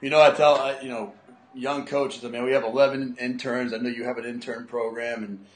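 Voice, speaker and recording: A man's voice: mid-range voice, little pitch movement, a little fast. Enthusiastic male podcast host, roomy sound. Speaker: podcast host, strength coach. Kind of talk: podcast interview and promo.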